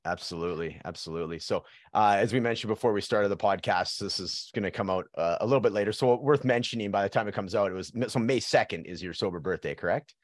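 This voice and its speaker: A man hosts a podcast with a relaxed, rambling style, stacking fillers and restarts as he talks. His voice sits low, and the sound is dry and close with no room echo. He keeps the talk casual and friendly. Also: quick pace, hardly any pauses. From Canada. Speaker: podcast host. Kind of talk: casual interview chat.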